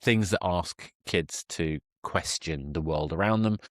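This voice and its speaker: A man's voice: low voice, unhurried, no echo, studio quality. A man hosting a casual interview, talking with emphatic enthusiasm and rephrasing as he goes. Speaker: podcast host.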